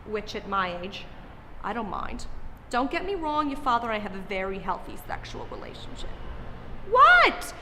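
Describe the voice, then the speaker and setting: A woman's voice: fairly high pitch, huge pitch swings, normal speed, big-room sound, background noise. An actress playing an exasperated middle-aged mother who scolds her grown daughter sarcastically. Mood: nagging.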